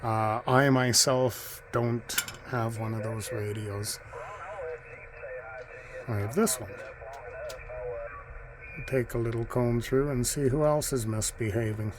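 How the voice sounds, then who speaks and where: A man giving casual, off-the-cuff commentary as a radio hobbyist, in a halting, musing way. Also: mid-range voice, steady tone. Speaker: radio hobbyist narrating a video. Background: shortwave radio noise.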